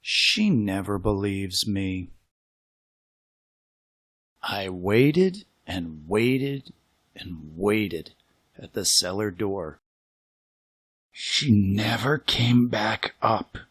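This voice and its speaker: A man reading a children's story aloud in an expressive, dramatic way with suspenseful pauses. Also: lowish voice, breathy edge, no echo, clean studio sound. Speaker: storybook reader.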